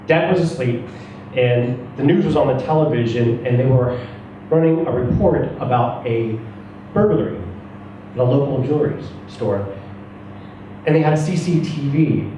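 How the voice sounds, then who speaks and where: A man reads a first-person short story aloud with a dark, mellow tone. His voice rings heavily around the room and sounds set back from the microphone. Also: mid-range voice, normal speed. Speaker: story reader at a live reading. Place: echoey room, away from the mic. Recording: roomy, echoing, distant mic, faint noise.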